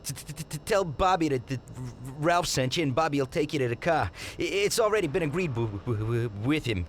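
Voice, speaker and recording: A nervous mob mechanic, as a man acts him out, tripping over nearly every word in a heavy stutter. His voice sits a little high for a man. Faint noise hangs under this game cutscene line. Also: expressive, a little fast, close to an everyday voice. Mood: stammering. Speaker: mobster. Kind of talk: video game cutscene acting.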